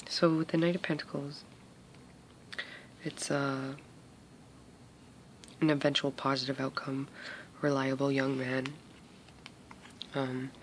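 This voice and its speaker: Gravelly, whispery male tarot reader. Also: medium pitch, sharp tone, slight background noise. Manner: hesitant, many fillers, often drops to a whisper. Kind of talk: casual tarot reading for viewers.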